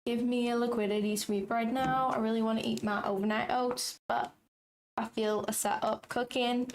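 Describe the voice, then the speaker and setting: A young woman with a raspy voice, chatting casually and thinking aloud as she hosts a live trading stream. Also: fairly high pitch, little pitch movement, normal speed, a little hiss or hum. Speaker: trading livestream host.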